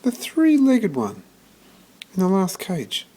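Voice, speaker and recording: A man's voice: high-pitched, huge pitch swings, slow, dark tone, slightly rough, pitched above the performer's own voice, heavily acted. A man voicing a lonely, eager, determined young boy in a storybook, in short, insistent phrases. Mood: eager, hopeful.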